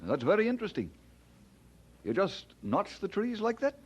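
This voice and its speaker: An actor playing an earnest, good-humoured young preacher in an audio drama. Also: male voice, high-pitched, wildly swooping pitch, moderate pace, husky, dull audio, full character voice. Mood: friendly, tactful.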